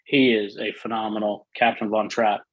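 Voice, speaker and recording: A middle-aged man with a soothing, slightly husky voice, chatting enthusiastically as a guest, with many fillers and restarts. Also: lowish voice, flat delivery, normal speed, no room sound, phone-quality sound. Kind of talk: casual interview chat.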